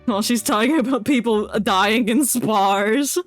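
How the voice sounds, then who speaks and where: A woman's voice: fairly high pitch, a little slow. A woman streaming a video game, giving playful, quipping commentary in casual chat. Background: game music.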